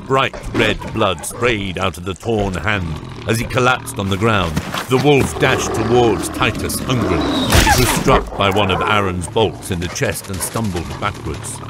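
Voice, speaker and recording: A man's voice: lowish voice, unhurried, heavy background noise. A man narrating a scripted fantasy audiobook. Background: loud music.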